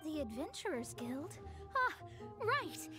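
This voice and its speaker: A woman playing a proud, easily flustered young astrologer witch in a video game, haughty and halting. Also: high voice, big pitch swings, loud music behind the voice, full character voice. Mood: awkward. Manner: haughty, halting when embarrassed. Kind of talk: video game character acting.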